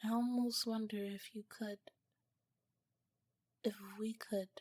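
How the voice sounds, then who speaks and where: A woman voicing a tender, curious alien scientist in an ASMR romance roleplay, speaking softly and formally in a breathy, whispery voice with some halting phrases. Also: a little high, flat delivery, dry recording, clean studio sound. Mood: happy, reflective. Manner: soft, halting, formal wording. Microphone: close, dry mic.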